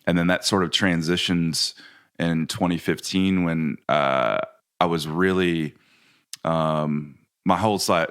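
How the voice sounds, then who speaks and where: A man with a Kentucky accent and a very low, deep voice talks as a podcast guest. He is easygoing and laughs often, filling his talk with ums and restarts. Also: clean tone. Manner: relaxed, laughing, many fillers and restarts. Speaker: guest photographer. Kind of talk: casual podcast interview. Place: video call from home.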